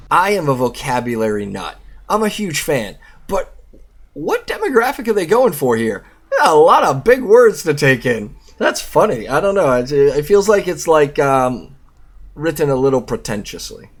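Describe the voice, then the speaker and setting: A man with a slightly rough, husky voice sitting at an average male pitch. He reads an article aloud as the host of a video, casual in delivery, and tends to interrupt himself with informal, sweary remarks. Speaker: YouTube host and reviewer.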